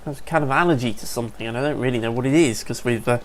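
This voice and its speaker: A man's voice: mid-range voice, rapid-fire, slight background noise. A man giving casual, upbeat commentary over a survival game, a bit sniffly from allergies and chatting to viewers as folks. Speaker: let's play gamer.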